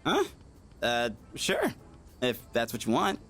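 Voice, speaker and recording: Slangy, animated teenage slacker guy. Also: medium pitch, slow, exaggerated voice. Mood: exasperated. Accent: American. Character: laid-back goofy teenage boy, self-conscious slacker buddy. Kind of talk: video game voice acting. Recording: music behind the voice. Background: game music.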